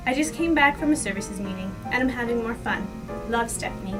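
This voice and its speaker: A woman's voice: a little high, animated, a little fast. A woman reading a child's letter home aloud in short, simple sentences. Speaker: staff member reading aloud. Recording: distant mic, guitar music behind. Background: guitar music.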